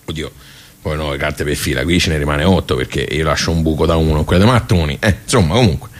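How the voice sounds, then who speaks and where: Radio play: grumbling Tuscan social-club old-timer, low voice, halting, nostalgic. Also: male voice. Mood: rambling, explaining. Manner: rambling, halting, muttering asides. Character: grumbling, simple, nostalgic ageing regular of a village social club. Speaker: actor in a radio theatre monologue. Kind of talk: colloquial theatrical soliloquy.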